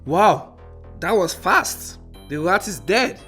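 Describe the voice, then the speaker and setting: Man acting a breezy, slow-talking lab assistant. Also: high voice, sing-song, bright, forceful tone, soft background music, full character voice. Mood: eager. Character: breezy, eager, cocky male lab assistant. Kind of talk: amateur radio play acting.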